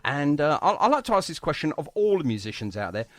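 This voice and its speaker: A man's voice: a little high, sing-song, quick pace, a bit bright, no echo. A man hosting a radio music interview in a chatty, effusive and complimentary way. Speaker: radio show host.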